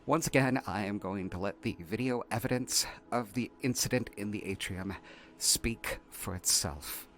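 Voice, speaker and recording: A man playing a shaken, exasperated researcher, haltingly dictating an incident report in an audio drama. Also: on the low side, fairly bright tone, close to an everyday voice. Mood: flustered, incredulous. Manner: halting, flustered, repeating words. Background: faint music.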